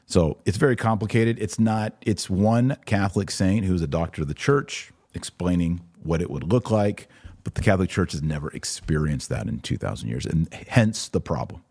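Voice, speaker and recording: A man with a deep, slightly husky voice hosts a live religious commentary show. He speaks emphatically and repeats short phrases to drive a point home. The sound is close and dry. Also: expressive, moderate pace, darkish tone, dry recording. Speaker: podcast host and religious commentator. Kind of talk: live commentary answering viewer questions.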